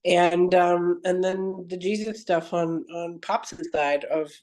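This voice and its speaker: A woman's voice: lowish voice, steady tone, slow pace, a little mellow. Earnest woman telling her story in an interview. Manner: earnest, digressive, frequent self-corrections. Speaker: podcast guest.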